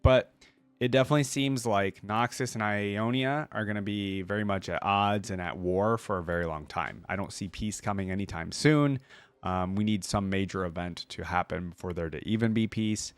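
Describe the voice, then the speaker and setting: Low-voiced man giving casual reactions. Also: normal speed, clean tone, no echo. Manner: informal, thinks aloud, exclamatory. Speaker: reaction video host.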